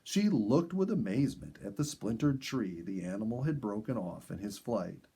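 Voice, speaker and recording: Man, storybook narrator, husky voice, animated intonation, measured and expressive. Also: on the low side. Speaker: audiobook reader and host.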